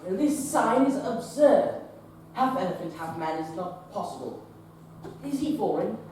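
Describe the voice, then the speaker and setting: Casting: young man as a formal young Victorian doctor, measured and proper, far-off echoey stage. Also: high-pitched, lively intonation, a little mellow, a little hiss or hum. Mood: polite. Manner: formal, measured, self-questioning. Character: earnest young Victorian surgeon, proper and curious. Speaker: actor in a teen stage production. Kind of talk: stage play acting. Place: theater stage. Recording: roomy stage sound from a distant mic.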